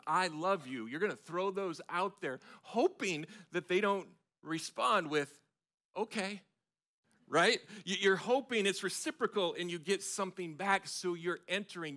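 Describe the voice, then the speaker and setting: A man in his forties with an American accent, preaching a sermon on a church stage in a conversational, animated way with frequent restarts. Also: a little high. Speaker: pastor. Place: large church stage with audience.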